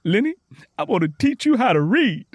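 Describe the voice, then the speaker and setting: Guy doing a young southern woman from a storybook, eager n excited, high pitch that jumps around, folksy talk. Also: normal speed, dry recording, clean studio sound. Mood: excited, warm. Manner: excited, earnest, folksy dialect.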